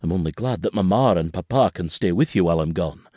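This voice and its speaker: A tender father, as a male reader puts him on, gentle and reassuring, his low voice rising and falling in lively swings. The sound is close and dry, with no room around it. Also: fast, no echo. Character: Highland father, tender and steady.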